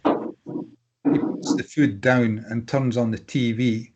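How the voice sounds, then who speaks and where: A man's voice: medium pitch, fairly even intonation, slow pace, muffled recording, noisy background. A man reading stage directions as scripted narration at a drama table read.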